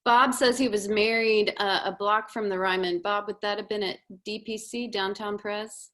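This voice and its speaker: A woman with a Southern US accent, hosting a casual conversation and speaking haltingly, with many fillers and restarts. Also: medium pitch, steady tone. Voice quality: soft, honeyed voice. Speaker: webinar host.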